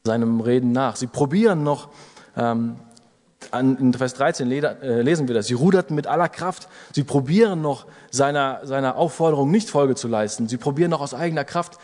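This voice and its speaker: German preacher in a reverberant hall. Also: male voice, medium pitch, brisk, darkish tone. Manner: earnest exhortation with rising emphasis. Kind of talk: sermon.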